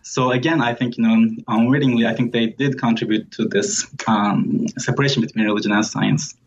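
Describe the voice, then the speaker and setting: A man talks as an academic guest in an interview, with a somewhat low-pitched voice. He explains thoughtfully and pauses as he goes. The recording is a bit dull and lo-fi, with faint noise behind him. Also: fairly even intonation. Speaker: guest author and historian. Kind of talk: scholarly interview conversation.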